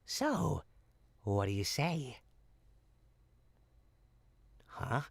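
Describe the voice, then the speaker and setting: Gravelly little old goblin salesman, sneaky smooth talker, pitch swooping all over, roleplay audio. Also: huge pitch swings, very slow, no room sound, heavily acted. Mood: boastful sales pitch. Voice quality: raspy, creaky. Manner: smooth-talking, wheedling, folksy street hawker.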